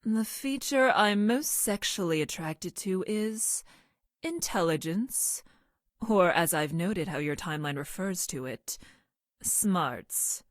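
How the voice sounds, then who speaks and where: Clinical young female scientist from the future, video game; lowish voice, deadpan, precise, dry close recording. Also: takes it slowly, no echo. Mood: deadpan affection. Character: stilted, logical young woman scientist from the future. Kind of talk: video game character voice lines.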